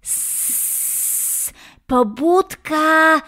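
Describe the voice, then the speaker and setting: A woman voicing a young male snake in a children's story, urgent and friendly, with drawn-out hissing. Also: high-pitched, lively intonation, bright, forceful tone, voice pushed up, heavily acted.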